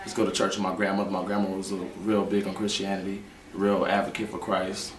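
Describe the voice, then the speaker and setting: A man's voice: sits low, monotone, fairly quick. A man in his twenties giving long, earnest interview answers. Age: 20s. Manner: earnest, explaining at length. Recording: roomy echo from a distance with faint noise.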